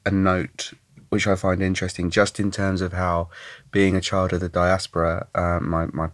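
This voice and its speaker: Hesitant man, low dry voice. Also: monotone, moderate pace, no room sound. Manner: hesitant, many fillers and restarts. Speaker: artist and dialogue director. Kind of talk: casual podcast chat.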